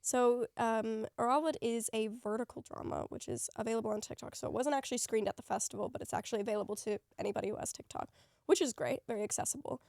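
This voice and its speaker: A woman's voice: on the high side, fairly even intonation, fast, gravelly, darkish tone, no echo, clean studio sound. A young woman hosting a podcast, asking questions in a casual, friendly way with short fillers. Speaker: podcast host. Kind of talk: casual chat.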